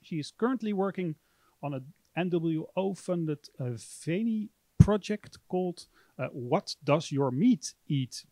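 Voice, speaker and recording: A man's voice: fairly high pitch, lively intonation, measured pace, mellow, no echo. A man in his thirties hosting a university lecture, speaking hesitantly with many fillers into a handheld mic in a library. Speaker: host. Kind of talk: introducing a public lecture.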